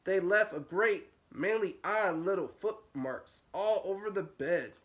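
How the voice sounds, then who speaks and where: A man's voice: sits high, a little slow, a bit bright. Guy teacher reading a storybook to little kids, kinda sing-song up and down. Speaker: nursery school teacher reading aloud. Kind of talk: storybook read-aloud for young children.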